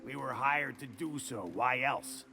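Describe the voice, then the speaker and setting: Folksy, gravelly game mercenary; drawling, wry. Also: male voice, medium pitch, a little slow, music in the background. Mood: businesslike. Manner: drawling, folksy, dry humor.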